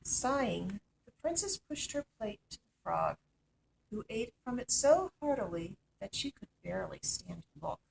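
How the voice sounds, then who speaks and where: A woman reading a children's story aloud as an expressive narrator. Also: medium pitch, unhurried, creaky, a little hiss or hum, low-quality recording. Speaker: storytime reader.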